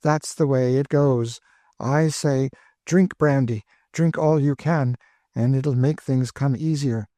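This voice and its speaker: A man's voice: mid-range voice, steady tone, takes it slowly, mellow, no room sound, pitched above the performer's own voice, close to an everyday voice. A man voicing a weary, despairing woman who speaks with dry bitterness. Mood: bitter.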